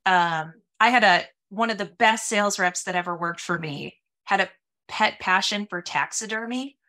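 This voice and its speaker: Bright-voiced woman chatting on a video call. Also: mid-range voice, normal speed. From Minnesota. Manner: chatty, animated, many "like" fillers and laughs. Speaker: podcast guest, sales executive. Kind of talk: casual interview chat. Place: home office, small room.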